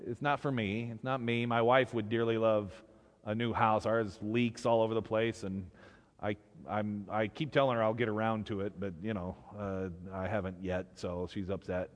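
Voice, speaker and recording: Humble fisherman for a folk tale played by a man, sheepish, hesitant, apologetic, slightly high voice in long reverb. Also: lively intonation, normal speed, fairly bright tone. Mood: sheepish pleading.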